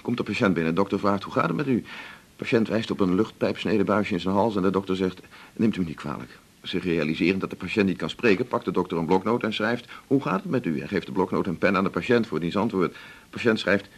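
An actor playing a lonely, teasing, self-mocking doctor in a naturalistic radio drama, talking in a wry, confiding way. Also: male voice, low-pitched, fast talker, slightly rough. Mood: joking, nervous. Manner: wry, confiding, short clipped phrases.

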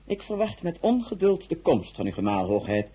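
Very husky, stately Tsar. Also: male voice, on the high side, big pitch swings, mellow, rough recording. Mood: courtly. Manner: formal, measured, stately. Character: suave, imperious Tsar of Russia, courtly and probing. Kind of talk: radio drama acting.

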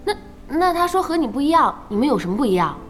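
High, animated young woman gamer. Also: fast talker. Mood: curious. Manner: chatty, nagging. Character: outspoken, gossipy, impatient young woman gamer. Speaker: voice actor in a radio drama. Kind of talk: animated audio drama dialogue. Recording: faint music behind the voice.

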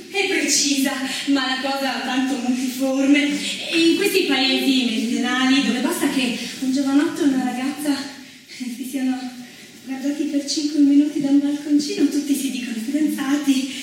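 An actress playing a didactic etiquette adviser and narrator in a theatrical monologue, with expressive delivery and dramatic pauses. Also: female voice, sits high, a little hiss or hum. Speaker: stage actress. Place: theatre stage, recorded from afar. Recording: very echoey theatre, distant mic, music.

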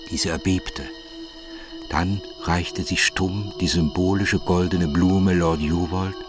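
Deep male voice, literary narration, measured, solemn, music playing behind. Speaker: audiobook narrator.

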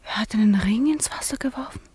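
An actress playing a proud, jealous young noblewoman in a radio drama, murmuring hushed, breathy asides to herself. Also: fairly high pitch, quick pace, no echo. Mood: shocked, jealous. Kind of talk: intimate radio drama monologue.

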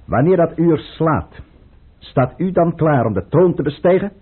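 An actor playing a scheming, controlled count and royal adviser with a measured, formal manner in a radio play. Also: male voice, a little high, animated, slightly rough. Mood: resolute. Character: scheming, controlled male count advising the tsar, secretly tormented. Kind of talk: Dutch radio play acting. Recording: tinny, muffled old radio play recording.

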